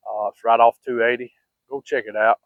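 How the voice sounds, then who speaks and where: Male storyteller, Southern US accent, mid pitch, rambling and plain-spoken; dry, narrow phone-like sound. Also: steady tone, brisk, dry recording. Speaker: vlogger. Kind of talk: casual personal storytelling. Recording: muffled, phone-like narrow-band audio.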